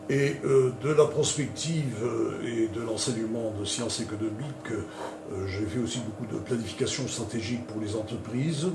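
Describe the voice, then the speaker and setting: Hesitant older man, distant roomy sound. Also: lowish voice. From Canada. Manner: hesitant, many fillers and restarts. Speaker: interviewee. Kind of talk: self-introduction, unscripted. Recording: roomy and distant with clear noise.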